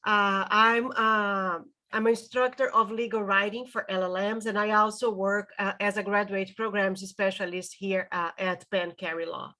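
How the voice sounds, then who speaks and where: A woman's voice: medium pitch, fairly even intonation, normal speed, few pauses, pushed and bright. A woman from Brazil with a Brazilian Portuguese accent, a legal writing instructor giving a webinar presentation, often hesitating and repeating words.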